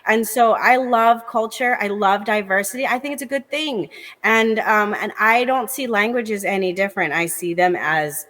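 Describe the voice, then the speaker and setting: A woman from the United States, a comedian appearing as a talk show guest, who talks in a lively, candid way and jumps into quick asides. Her voice carries a long hall reverb. Her pitch sits in a middle range for a woman. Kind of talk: casual interview chat.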